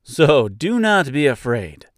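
A man's voice: fairly high pitch, sing-song, takes it slowly, dry recording, clean studio sound. Man playing a courteous, kindly tin man. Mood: reassuring. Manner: courteous, earnest, gentle-hearted. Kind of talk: theatrical storybook character reading.

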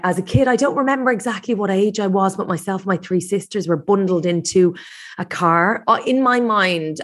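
An Irish woman guest in casual chat, talking freely with frequent restarts and laughs. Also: lowish voice. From Ireland.

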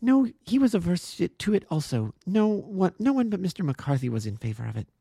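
A man's voice: big pitch swings, brisk, gravelly, dark tone, slightly rough, no room sound, studio quality, heavily acted. A man doing an earnest pleading young woman. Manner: breathless and insistent, rising high. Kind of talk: audiobook character voice.

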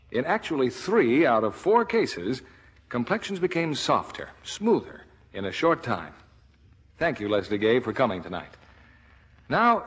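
A man's voice: mid-range voice, husky. An American man announcing a 1950s radio advertisement in a polished, salesmanlike way.

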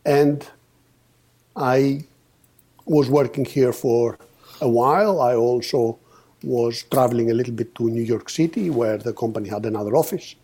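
A man in his fifties from Greece, a podcast guest telling his story with many pauses and restarts. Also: medium pitch, mellow, breathy edge. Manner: hesitant, many uhs and restarts. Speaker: podcast guest, company founder. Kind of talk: casual storytelling interview.

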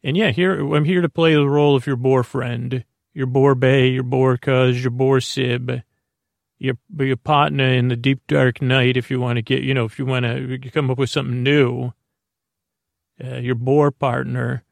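Male bedtime-podcast host, creaky fry, dry close recording, meandering monologue full of halting repeats. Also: mid-range voice, flat delivery, no room sound.

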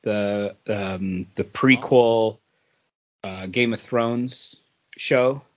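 A man's voice: on the low side, drawn-out words, highs cut off. A man co-hosting a podcast, chatting casually and retelling anecdotes with quoted dialogue. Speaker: podcast co-host.

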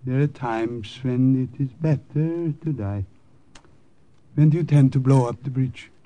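An actor playing an earnest, grave Norwegian underground contact, hesitant and deferential, in old radio drama style. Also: male voice, mid-range voice, unhurried, dark tone, natural voice, rough recording. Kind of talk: 1950s radio drama acting.

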